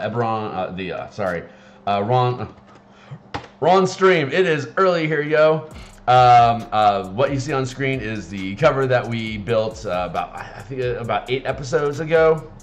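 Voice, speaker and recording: A man with a raspy morning voice, chatting casually on a live stream and rambling with many fillers and restarts. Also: medium pitch, normal speed, slight background noise. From Seattle area. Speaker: streamer and game designer.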